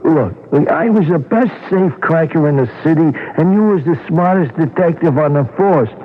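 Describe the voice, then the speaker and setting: Pleading, slangy crook on old radio. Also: male voice, a little high, animated, normal speed. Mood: pleading, wheedling. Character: pleading, slangy small-time safecracker, wheedling crook. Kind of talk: radio crime drama acting. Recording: tinny old radio, faint noise.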